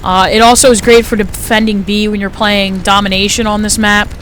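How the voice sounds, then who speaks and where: A fifteen-year-old boy with a light, high-sounding voice, chatting casually through his gameplay commentary with lots of fillers. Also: flat delivery, a little fast, fairly bright tone, poor audio. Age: teens. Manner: chatty, rambling, frequent fillers.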